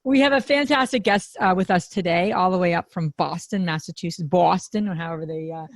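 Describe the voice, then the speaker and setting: A woman's voice: lowish voice, a little fast, dry recording. A woman hosting a podcast, talking in a loose, rambling way with lots of fillers and restarts. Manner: warm rambling delivery with many fillers. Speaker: podcast host.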